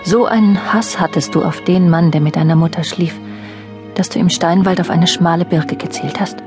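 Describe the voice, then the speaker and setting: Actress as reflective female narrator, flat even pitch, subdued, radio drama, music behind. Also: normal speed, natural voice. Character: reflective female narrator addressing an absent man. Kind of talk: scripted audio drama narration.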